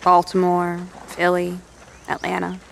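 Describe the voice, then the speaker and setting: An actress playing a helpful, matter-of-fact military lieutenant in an audio drama, speaking briskly and plainly. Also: female voice, fairly low pitch, completely flat delivery, creaky, a little hiss or hum. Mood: businesslike, curious. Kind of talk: naturalistic audio drama dialogue.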